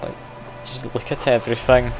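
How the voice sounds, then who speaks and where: A man's voice: mid-range voice, flat delivery, moderate pace. Chatty streamer man over game music. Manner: chatty, self-deprecating, laughs often. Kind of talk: casual live game commentary. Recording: dull lo-fi stream audio, game music behind.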